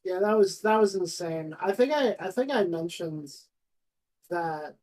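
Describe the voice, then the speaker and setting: A woman's voice: lowish voice, unhurried, darkish tone, poor audio. A woman chatting casually and informally as she streams game cutscenes. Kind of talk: casual chat. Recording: music playing behind the voice.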